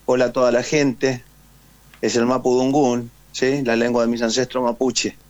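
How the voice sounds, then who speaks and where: A man's voice: medium pitch, flat delivery, normal speed, darkish tone, dry recording, highs cut off. A man with an Argentine accent, a veteran guest on a radio call, talking casually and hesitantly with many fillers and tag questions. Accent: Argentine Spanish. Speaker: guest, war veteran and writer. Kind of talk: casual phone interview.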